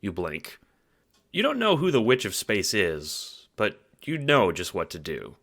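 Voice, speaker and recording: A man reading second-person audio drama narration in an animated storytelling manner, with casual swearing. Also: on the low side, a little slow.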